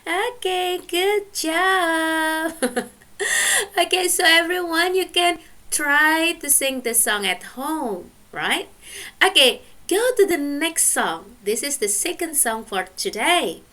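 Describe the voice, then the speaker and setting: Slow, lively-voiced woman teacher. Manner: slow, careful teacher's talk with lively pitch. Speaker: English teacher. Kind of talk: recorded lesson for junior high students.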